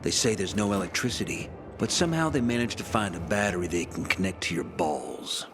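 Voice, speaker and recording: A man acting a wisecracking, fast-talking scoundrel of a prisoner in a video game cutscene, joking and wheedling like a born storyteller. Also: sits low, animated. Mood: sardonic. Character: wisecracking male prisoner, fast-talking scoundrel who jokes through misery. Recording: music behind the voice.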